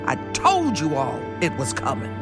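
A woman's voice: deep voice, huge pitch swings, moderate pace, exaggerated voice. An indignant woman journalist and activist in a radio drama. She speaks pointedly, like an orator, over faint music. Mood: grave, urgent.

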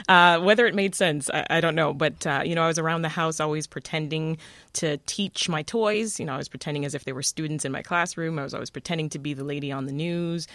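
A woman from Jamaica, a new radio host, telling stories from her childhood in a relaxed, upbeat interview. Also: low voice, fairly quick, no echo. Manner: upbeat, laughs often, warm storytelling. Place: radio studio.

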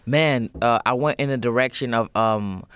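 Smooth-voiced man, halting and chatty. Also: medium pitch, dry recording, clean studio sound. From United States. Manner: hesitant, full of ums, lively when storytelling. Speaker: musician guest. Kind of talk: casual radio interview. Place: radio studio.

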